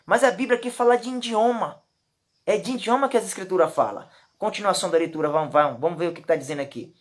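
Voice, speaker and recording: A Brazilian woman with a Brazilian Portuguese accent, teaching from the Bible. She reads the verses slowly, repeats her points emphatically and speaks straight to the listener. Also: fairly low pitch. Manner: emphatic and repetitive, addresses the listener directly. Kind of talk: Bible teaching with slow scripture reading.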